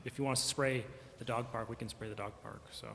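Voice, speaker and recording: A man's voice: mid-range voice, steady tone, fast, fairly bright tone. A man making casual remarks at a public meeting. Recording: echoey hall with long reverb, faint noise.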